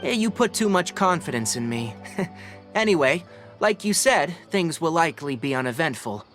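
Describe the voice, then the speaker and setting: An actor playing a calm, scholarly young male researcher in a video game, articulate and measured as he explains. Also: a little high, faint music behind. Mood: calm, analytical.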